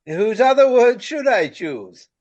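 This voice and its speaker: High-voiced, pompous Regency gentleman actor. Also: very animated, slow, highs cut off, heavily acted. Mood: affronted, amused. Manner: pompous, florid, hesitant with formal archaic speech. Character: vain, fussy Regency gentleman actor, greying, easily puzzled. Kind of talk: radio play acting.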